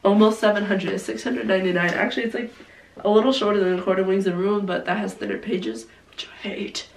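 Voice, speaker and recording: A hoarse young woman chatting casually about her favorite books, gushing and saying "like" often, with a cough now and then. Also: medium pitch, steady tone, fairly quick. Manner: gushing, heavy use of "like". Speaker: book vlogger. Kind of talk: casual book review chat. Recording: roomy, distant mic, faint noise.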